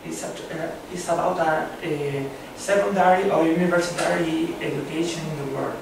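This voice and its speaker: Man, Chilean accent, hesitant presenter, slightly high voice, echoey room far from the mic. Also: gravelly, noisy background. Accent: Chilean Spanish. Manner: halting, many fillers and restarts. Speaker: conference presenter. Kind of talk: academic conference presentation. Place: seminar room with a long table. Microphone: far camera.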